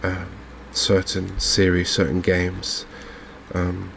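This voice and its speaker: Very low, softly spoken Englishman. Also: completely flat delivery, gravelly, a little hiss or hum. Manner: gentle, unhurried, hushed. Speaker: gaming video host. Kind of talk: softly spoken ASMR game commentary. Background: fishing game ambience.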